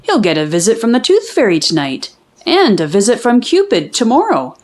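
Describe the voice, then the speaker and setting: A woman's voice: fairly high pitch, huge pitch swings, moderate pace, darkish tone. Woman acting affectionate father, children's storybook, teasing, very varied intonation. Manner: playful, explaining.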